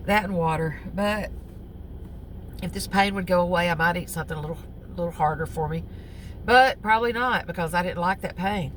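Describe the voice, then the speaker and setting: Rambling Southern woman, lower voice. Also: slight background noise. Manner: rambling, chatty, many ums and self-corrections. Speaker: crochet vlogger. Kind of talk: casual chat to camera. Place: outdoors on a lunch break.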